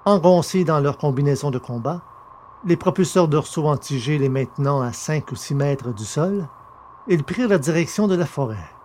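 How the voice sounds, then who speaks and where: A man's voice: on the high side, darkish tone. A man narrating an audio drama from a script in a careful, literary reading style. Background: music.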